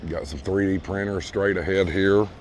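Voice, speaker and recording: A hoarse man losing his voice, casually walking viewers through a large store and calling them folks. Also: low-pitched, fairly even intonation, moderate pace, a little mellow, a little hiss or hum. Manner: easygoing, repeats praise, addresses viewers as folks. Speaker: vlog host touring a store. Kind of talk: casual walkthrough narration. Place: large retail store indoors. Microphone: handheld phone camera.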